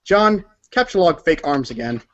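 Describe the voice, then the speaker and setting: A man's voice: a little high, expressive, moderate pace, muffled recording. A man narrating a story aloud as if from a storybook, sometimes stumbling over words and correcting himself. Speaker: narrator of a group readers' theater.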